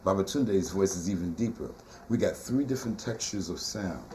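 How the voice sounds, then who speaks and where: A middle-aged man with a tenor-baritone voice, talking in a casual interview as a poet and teacher, animated and emphatic with rhythmic repeated phrases. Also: moderate pace, a little hiss or hum.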